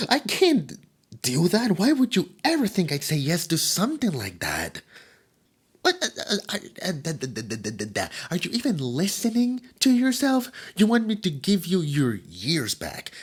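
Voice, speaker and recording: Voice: mid-range voice, slightly rough, heavily acted. A performer voicing a nerdy, easily flustered immortal demon in an ASMR roleplay, with a stammering, theatrical delivery. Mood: indignant, outraged. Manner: stammering, theatrical, swinging from soft musing to panicked outbursts. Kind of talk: roleplay ASMR character acting.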